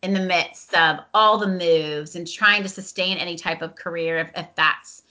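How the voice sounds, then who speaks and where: A woman's voice: lowish voice, fairly even intonation, normal speed, pushed and bright. A middle-aged woman talking reflectively in a casual conversation, with frequent "um" and "you know". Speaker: guest, veteran spouse advocate. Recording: dull lo-fi sound.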